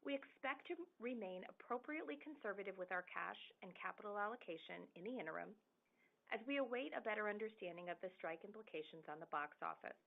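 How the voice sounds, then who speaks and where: A woman finance executive reading scripted earnings call remarks in a formal, measured manner. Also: medium pitch. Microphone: phone conference call. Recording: narrowband phone conference line.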